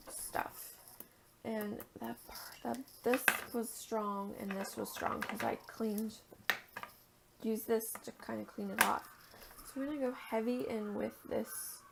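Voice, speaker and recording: A young woman, raspy and tired after a long day, chatting casually as she demonstrates an art journaling technique and sometimes murmuring to herself. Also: a little high, animated, slow, heavy background noise. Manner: chatty, thinks aloud while working, drops to a murmur at times. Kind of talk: casual crafting tutorial.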